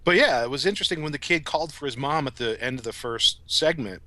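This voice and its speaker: A man with a bright, forward voice and very dry, close sound, chatting casually as a guest reviewer. His delivery is conversational and wry. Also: a little high, lively intonation, fast, dry recording.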